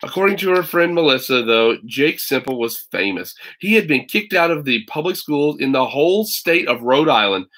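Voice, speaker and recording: A man's voice: mid-range voice, expressive, moderate pace, hardly any pauses, a bit bright. An American man in his forties, a teacher talking casually and reading a storybook aloud to children at home. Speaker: teacher and children's book read-aloud host. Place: small room at home, indoors. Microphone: webcam or laptop mic at arm's length.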